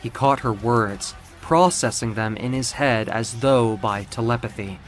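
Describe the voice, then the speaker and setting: A man's voice: medium pitch, a little slow, faint music behind. A man narrating a scripted story in a theatrical, suspenseful way.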